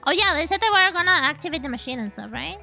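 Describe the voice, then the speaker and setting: A woman from Chile chatting casually and excitedly while streaming a game, with the odd swear. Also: very high voice, fast, creaky, soft background music. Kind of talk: casual gaming livestream chat.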